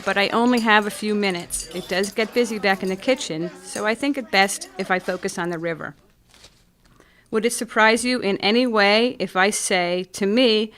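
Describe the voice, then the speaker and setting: A woman acting in community radio theatre as a gracious small-town café owner who describes things in measured, poetic phrases. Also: medium pitch, fairly bright tone, clear, resonant tone, lightly acted. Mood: welcoming.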